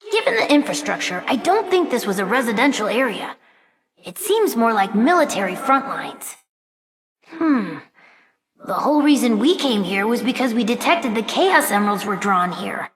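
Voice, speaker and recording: A woman's voice: a little high, fairly bright tone. A woman voicing an earnest, brainy young fox boy sidekick in a video game, with a youthful, articulate way of talking. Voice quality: youthful, boyish. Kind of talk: video game character voice lines.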